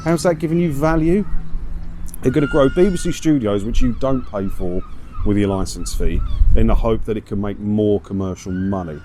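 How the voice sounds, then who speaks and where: A man's voice: on the low side, moderate pace, dark tone. An English man chatting casually and giving his opinions as a video blogger, full of rhetorical questions, talking as he walks outdoors. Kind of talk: casual walk-and-talk commentary on news stories. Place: outdoors, walking around a seaside town. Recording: outdoor street noise, traffic.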